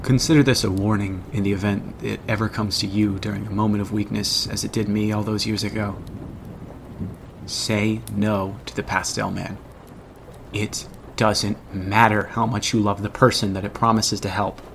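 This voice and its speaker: A man acts out a haunted, regretful man telling his horror tale. His voice is low and creaky, his delivery solemn and ominous. Clear storm noise runs behind this audio drama. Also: flat delivery. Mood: grave, haunted. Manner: deliberate, solemn, ominous storytelling. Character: haunted grown man recounting a teenage horror, grave, regretful.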